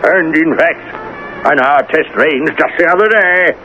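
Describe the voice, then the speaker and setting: A man's voice: bright, forceful tone, faint music behind. A man playing a blustering army general in a 1970s radio drama, commanding yet sheepish, with officious delivery. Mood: officious, defensive. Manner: booming, drawn-out orders, officious excuses. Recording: muffled, noisy 1970s radio broadcast recording.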